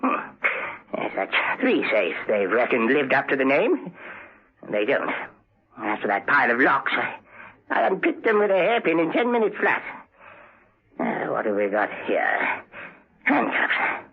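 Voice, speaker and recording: A man's voice: medium pitch, very animated, measured pace, long pauses, slightly rough, full character voice. A man acting an eccentric, boastful professor in a 1970s radio drama, with a pompous, fussy, theatrical manner. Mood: smug, brisk. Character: eccentric, boastful male professor, government escapologist and security tester. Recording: dull old radio drama recording.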